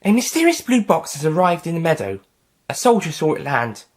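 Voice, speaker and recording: A man's voice: on the high side, sing-song, a little fast, pitched above the performer's own voice. A man voicing an earnest, polite female space agency agent in an audio science fiction story. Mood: earnest, reporting.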